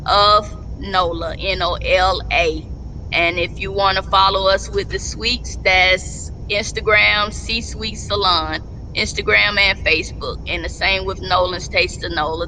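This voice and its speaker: A woman's voice: mid-range voice, sharp tone, slight background noise, low-quality recording. A middle-aged woman, a business owner, talks plainly as a guest over a video call from indoors. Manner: slow, plainspoken, spells out names. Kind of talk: casual interview. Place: indoors at home, seated. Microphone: video call webcam.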